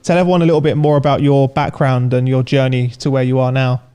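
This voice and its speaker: A man's voice: medium pitch, level tone, fast talker, warm tone, clean tone. A man hosting a podcast, opening the show and putting questions to his guest in an upbeat, polished way. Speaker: podcast host. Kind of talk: scripted podcast intro and interview questions.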